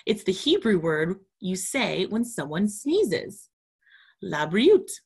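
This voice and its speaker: A woman's voice: mid-range voice, very animated, slow, gravelly, darkish tone, slightly rough, exaggerated voice. A woman voicing a kind, helpful young neighbor child in a children's storybook, polite and explaining. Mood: caring, explaining. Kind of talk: children's storybook read-aloud.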